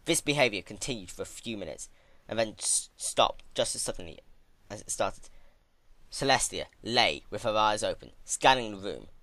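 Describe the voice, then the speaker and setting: Male narrator for dramatic fiction: bright, punchy, lively intonation, dry recording. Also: fairly low pitch, normal speed, no room sound. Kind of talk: dramatic fan fiction reading.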